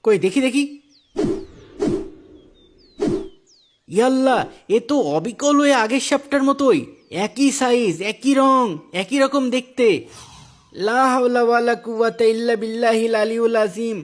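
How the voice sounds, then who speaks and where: A man's voice: very high voice, steady tone, normal speed. A man playing an anxious, pious village man in a moral cartoon story, given to worried exclamations. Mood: alarmed.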